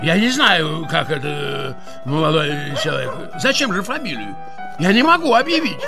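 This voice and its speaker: An actor playing a flustered, touchy older gentleman in a comic audio drama, stammering, repeating himself and fussily polite. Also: fairly high pitch, big pitch swings, normal speed, vocal fry. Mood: offended, pleading. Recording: music under the voice.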